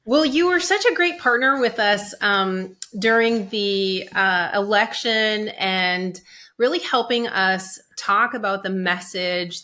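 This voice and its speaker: A woman hosting an interview show, introducing her guest and asking questions in a polished, measured way. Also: mid-range voice, pushed and bright. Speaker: host. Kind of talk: hosted interview.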